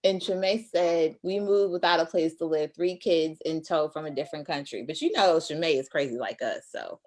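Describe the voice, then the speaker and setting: A woman in her thirties hosting a casual chat, talkative and teasing, with quick side notes. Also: low-pitched. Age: 30s. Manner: chatty, teasing, quick asides. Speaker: host.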